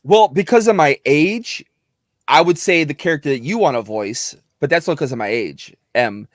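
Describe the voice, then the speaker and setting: A man's voice: fairly high pitch, expressive, normal speed, dry recording, studio quality. A middle-aged man with a slightly whiny voice, an actor chatting casually and rambling with many restarts. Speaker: actor and guest. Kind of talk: casual video chat. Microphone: close mic.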